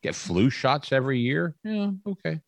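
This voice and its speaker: A man's voice: medium pitch, very animated, normal speed, gravelly, no echo, clean studio sound. A man in his sixties, a podcast guest chatting casually, with a rambling, folksy, upbeat manner. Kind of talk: casual chat.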